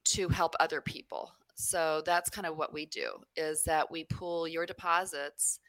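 A woman's voice: sits low, fairly even intonation, measured pace, a bit bright, no echo, rough recording. A woman from New Mexico, a credit union CEO, answering interview questions in a rambling way with many fillers.

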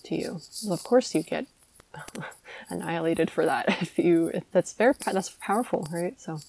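A woman's voice: fairly low pitch, moderate pace, creaky, a little mellow, dry recording, poor audio. A young woman hosting a podcast, chatting casually and laughing often. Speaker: podcast host. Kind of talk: casual chat.

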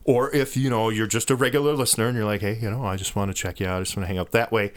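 Low-voiced guy reading podcast plugs, lots of uh's, kinda halting. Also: fairly even intonation, no room sound. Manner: hesitant, filler-heavy delivery. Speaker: podcast co-host. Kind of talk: casual chat, reading show plugs.

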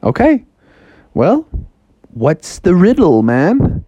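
Man playing a cheeky, bouncy-pitched frog. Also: wildly swooping pitch, slow pace, warm tone, slightly rough, dry recording, highs cut off, heavily acted. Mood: cocky. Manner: breezy, cocky, exclamatory. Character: cheeky, easygoing male talking frog hero.

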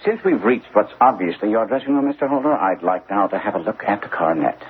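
An actor playing a shrewd, self-assured gentleman detective in an old-time radio drama, precise, probing and courteously commanding. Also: fairly low pitch, lively intonation, quick pace, breathy edge, narrow, tinny audio. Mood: probing, composed. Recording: thin, band-limited old radio recording, faint noise.

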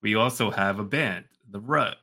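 A man co-hosting a podcast, telling stories casually in an animated way and repeating himself for emphasis. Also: fairly low pitch, normal speed. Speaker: podcast co-host. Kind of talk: casual chat, storytelling.

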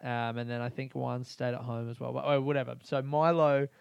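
A man's voice: mid-range voice, fairly quick, gravelly, clear, resonant tone, no room sound, muffled recording. A man hosting a podcast, rambling through a casual comedic monologue and swearing freely while he laughs at his own jokes. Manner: crude, swears a lot, laughs often; animated, rambling storytelling. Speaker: podcast host.

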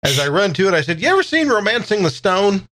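A man's voice: high-pitched, big pitch swings, fast, noisy background. A man playing a tabletop game, improvising his character's actions and lines in a playful style. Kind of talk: playful improvised role-play.

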